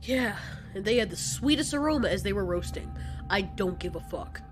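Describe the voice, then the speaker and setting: A woman voicing a callous male giant reptilian monster in an audio drama, deadpan, dismissive and sarcastic. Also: mid-range voice, moderate pace, faint music behind, natural voice. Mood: defiant. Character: cocky, callous male giant reptilian kaiju, coolly menacing.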